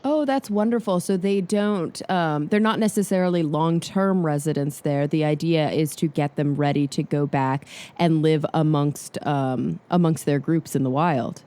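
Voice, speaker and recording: A woman's voice: deep voice, normal speed, hardly any pauses, dark tone. A woman hosting a podcast, asking long, careful interview questions in a friendly way. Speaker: podcast host. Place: Los Angeles.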